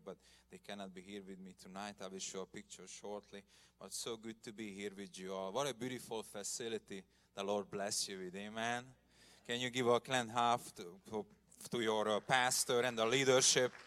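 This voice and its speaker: Hungarian-accented man, guest preacher, earnest sermon and testimony, clear voice. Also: lowish voice. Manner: earnest, gets loud and emphatic at points of praise. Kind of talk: church sermon and personal testimony. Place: church sanctuary.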